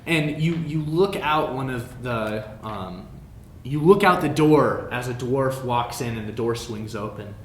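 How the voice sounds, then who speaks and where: A man narrating a tabletop fantasy game as game master, improvising in a halting way and repeating phrases. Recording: roomy echo, faint background noise, rumble.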